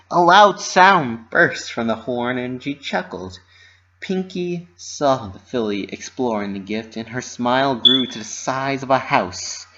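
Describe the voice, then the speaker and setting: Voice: mid-range voice, a bit bright. Narrator doing a dramatic story reading, theatrical delivery, kinda muffled lo-fi audio. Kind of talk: dramatic reading of fan fiction.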